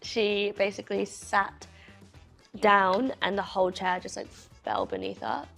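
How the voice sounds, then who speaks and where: Creaky-voiced woman interviewer, teasing. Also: medium pitch, sing-song, unhurried, fairly bright tone, faint music behind. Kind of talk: casual workplace interview.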